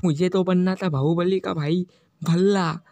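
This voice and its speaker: A man's voice: high voice, fairly even intonation, a little slow, vocal fry, warm tone, no room sound. A man voicing a yelping boastful cartoon slacker. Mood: lamenting. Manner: sing-song chanting, yelping catchphrase. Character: boastful lovesick young male slacker. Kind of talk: Hindi cartoon parody dub.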